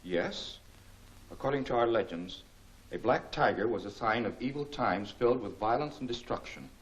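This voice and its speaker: A man's voice: medium pitch, lively intonation, moderate pace, lightly acted, poor audio. An actor playing a polite, solemn Chinese man explaining legends in a 1940s film serial.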